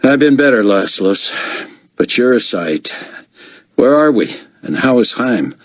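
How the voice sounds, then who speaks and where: A man's voice: medium pitch, sing-song, measured pace, no room sound, voice pushed up. Brief: a man playing a hurt man recovering in bed, direct and questioning, dry close read. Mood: concerned.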